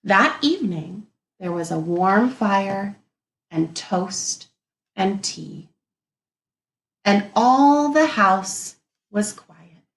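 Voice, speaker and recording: A woman teacher reading a picture book aloud to young children in an expressive, deliberate way, pausing to muse and think out loud. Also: medium pitch, reverberant, poor audio.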